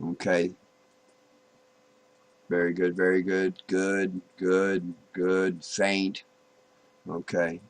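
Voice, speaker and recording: A man with a fairly low voice walks through software in an informal, unscripted way. His delivery is very slow and halting. He thinks aloud and repeats himself as he works through each step. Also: monotone. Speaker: software tutorial presenter. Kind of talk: informal screen-recorded tutorial, unscripted walk-through.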